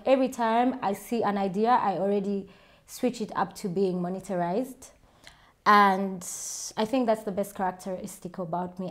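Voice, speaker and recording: A woman's voice: medium pitch, gravelly. Chatty Ugandan woman vlogger. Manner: chatty, self-assured, quick laughs. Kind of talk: casual chat answering viewer questions.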